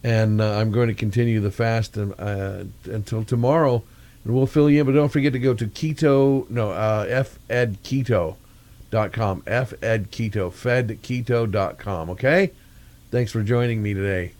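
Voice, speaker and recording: Older guy doing a casual vlog, kinda low voice, rambles and hunts for words. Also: fairly even intonation, moderate pace, darkish tone. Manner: meandering, searching for words. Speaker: vlogger.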